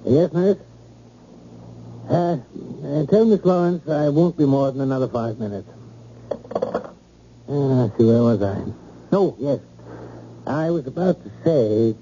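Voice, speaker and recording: An actor playing a busy, reassuring male psychiatrist in old-time radio drama, polite, measured and apologetic. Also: medium pitch, animated, mellow, close to an everyday voice. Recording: muffled, noisy old radio recording.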